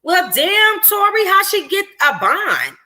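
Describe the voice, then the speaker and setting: A woman voicing an outspoken young best friend in a novel, animated, slangy and blunt. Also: extremely high pitch, slow pace, pitched above the performer's own voice, full character voice. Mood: concerned, blunt.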